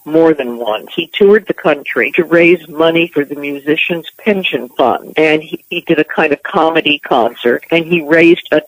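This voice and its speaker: A woman's voice: low voice, moderate pace, few pauses, no room sound, rough recording. Older woman, American accent, interview guest, reminiscing, dry recording.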